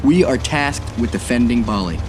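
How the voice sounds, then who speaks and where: A man acting a dutiful naval officer telling his war story in a video game. He has a middling pitch and speaks gravely and formally, with clear music playing underneath. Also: lively intonation, normal speed, lightly acted. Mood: grave, resolute. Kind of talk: scripted military narration.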